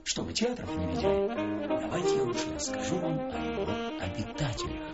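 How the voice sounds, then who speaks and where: A man's voice: high voice, wildly swooping pitch, brisk, lo-fi sound, loud music behind the voice, exaggerated voice. A man playing a chatty, ironic storyteller in a radio play, digressing playfully as he addresses the listeners. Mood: wry, playful. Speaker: narrator. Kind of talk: theatrical radio play narration.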